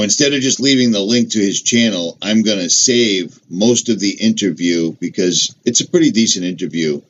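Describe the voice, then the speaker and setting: A man with a Boston-area accent briskly introducing his video to camera, offhand and casual. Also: deep voice, a bit bright. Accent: Boston-area (Massachusetts). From Massachusetts, US. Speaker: YouTuber intro. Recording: dull audio with faint ambient noise.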